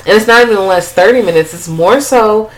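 A woman's voice: mid-range voice, brisk, low-quality recording. Rambling woman recapping a plot. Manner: rambling, profane storyteller. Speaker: podcast co-host. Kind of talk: casual movie recap chat.

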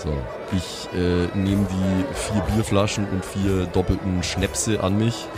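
Guy playing pen and paper, really deep voice, casual, background music. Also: flat delivery, takes it slowly, a loud crowd. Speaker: tabletop player. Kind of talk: casual improvised role-play.

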